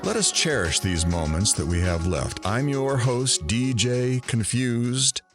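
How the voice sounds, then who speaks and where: A man playing a weary, reflective radio talk show host in an audio drama, speaking in a solemn, measured, theatrical way. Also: mid-range voice, expressive, music in the background.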